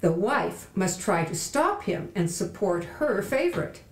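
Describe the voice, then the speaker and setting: A woman's voice: medium pitch, very animated, a little slow, recorded from a distance. A woman presenter reading a scripted introduction with dramatic narration. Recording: echoey room, away from microphone.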